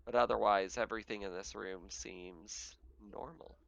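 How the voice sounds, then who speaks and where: Gravelly low-voiced game master, a man. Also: fairly even intonation, moderate pace, fairly bright tone. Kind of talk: casual narration of a tabletop roleplaying game. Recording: dull, muffled voice track.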